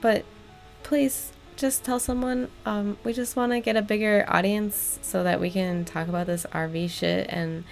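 A woman's voice: mid-range voice, fairly even intonation, unhurried, vocal fry, faint music behind. A young woman co-hosting a casual home podcast, laid-back and giggly, recorded on a webcam mic in a room with a green curtain. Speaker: podcast co-host. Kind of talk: casual chat.

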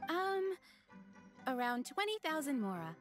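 A courteous young waitress from a video game. Her voice is high and animated, eager to serve and always polite. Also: measured pace, faint music behind, exaggerated voice. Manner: courteous, sales-like. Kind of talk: video game character acting.

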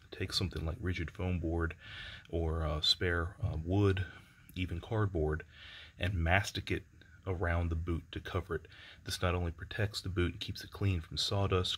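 Low-voiced man explaining a job-site test. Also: fairly bright tone. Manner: practical, explanatory, plainspoken. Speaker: HVAC contractor. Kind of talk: instructional job-site vlog. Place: house under construction, indoors.

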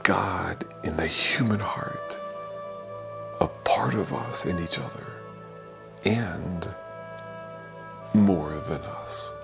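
An older man, a priest, giving a homily in a slow, gentle, reflective way, sometimes dropping to a soft whisper, from a small office. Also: low voice, expressive, vocal fry, over music. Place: small office with bookshelves, indoors.

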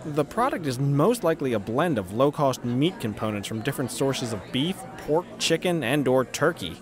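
Man as a lively, nerdy teen boy. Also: mid-range voice, moderate pace, lightly acted. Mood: studious. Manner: formal, precise. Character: nerdy, precise, analytical teenage boy. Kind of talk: game fandub acting. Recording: cafeteria crowd noise behind.

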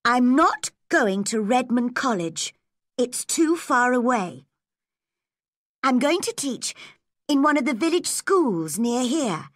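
A woman's voice: a little high, very animated, takes it slowly, dry recording, clean studio sound, voice pushed up. A woman voicing an eager, earnest teenage girl full of hope.

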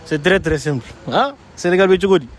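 A man's voice: high voice, a little fast. Young male street interviewer, noisy outdoor sound. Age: young adult. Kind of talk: casual man-on-the-street interview. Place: open paved outdoor plaza. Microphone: handheld phone shared with guest. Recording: clearly noisy outdoor phone recording.

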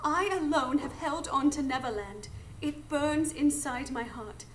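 Wendy Darling, high-voiced and earnest. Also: female voice, a little slow. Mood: impassioned. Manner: impassioned, protesting. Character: Wendy Darling, earnest, burdened, defiant grown woman. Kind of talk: live radio drama acting.

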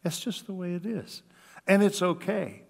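An older American man preaching a conversational sermon, with an animated delivery that acts out quoted remarks. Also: sits high, moderate pace, gravelly, husky. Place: church sanctuary at a lectern, indoors. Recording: reverberant hall.

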